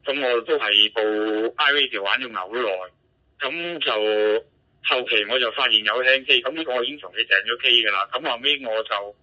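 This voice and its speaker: A man with a Hong Kong Cantonese accent chatting casually as an amateur operator over a two-way digital radio. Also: normal speed. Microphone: radio transceiver microphone. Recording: muffled low-fidelity digital radio transmission.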